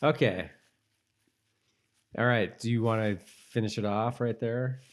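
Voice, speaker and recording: A man co-hosting a podcast, chatting casually about a TV show and often restarting his phrases. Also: medium pitch. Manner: halting, conversational, repeats himself. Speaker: podcast co-host.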